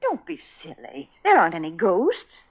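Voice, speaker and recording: A woman's voice: lowish voice, wildly swooping pitch, moderate pace. A woman reading scripted 1940s radio commercials with a friendly, homey sales delivery. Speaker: commercial spokeswoman. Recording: slightly muffled 1940s radio recording.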